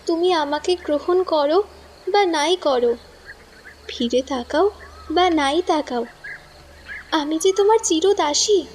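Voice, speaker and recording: A woman's voice: high voice, slight background noise. A woman voicing a dreamy, melodramatic lovesick teenage girl in an audio drama, with breathless, pining declamation. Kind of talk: Bengali audio drama acting.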